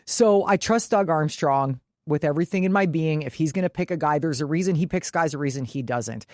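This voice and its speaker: A woman's voice: deep voice, brisk, darkish tone, dry recording. Opinionated woman talking sports, close dry sound. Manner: opinionated, emphatic; freely profane. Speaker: podcast co-host. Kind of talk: casual sports chat.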